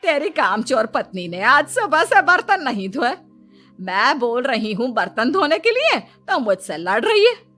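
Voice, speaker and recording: Quarrelsome older cartoon mother, played by a woman: bright, forceful, self-pitying scold. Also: high-pitched, sing-song, a little slow, soft background music, heavily acted. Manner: scolding, melodramatic. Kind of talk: Hindi cartoon dialogue.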